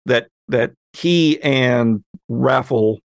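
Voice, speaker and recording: A man talking casually as a podcast game master looking back, halting as he thinks aloud. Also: mid-range voice, no echo, clean studio sound. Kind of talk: casual retrospective chat.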